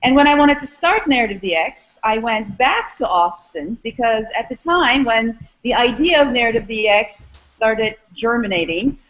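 Higher-voiced woman on a dull, lo-fi recording. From Istanbul, Turkey. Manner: measured, academic phrasing. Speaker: interview guest, startup founder and former academic. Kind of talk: explanatory interview answers.

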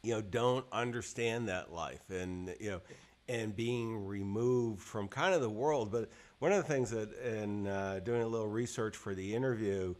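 Halting, rambling podcast host. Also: male voice, lowish voice, a little mellow. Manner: rambling, halting questions full of fillers. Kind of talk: interview questions. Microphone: video call.

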